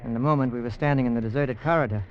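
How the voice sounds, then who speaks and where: An actor playing an earnest American oil engineer with an American accent, telling his adventure in measured old-time radio drama style. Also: medium pitch, a little hiss or hum, close to an everyday voice. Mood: earnest, suspenseful. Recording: muffled vintage radio recording.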